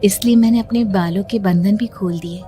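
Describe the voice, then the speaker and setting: A woman voicing a sweetly insistent, unsettling wife in a horror cartoon story, with a deliberate, uncanny manner. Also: medium pitch, warm tone, faint music behind, lightly acted. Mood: eerie, insistent.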